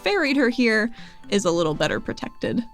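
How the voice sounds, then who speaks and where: A woman's voice: fairly high pitch, big pitch swings, moderate pace, faint music behind. A woman playing a tabletop roleplaying game, explaining the game world and chatting casually. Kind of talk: casual chat.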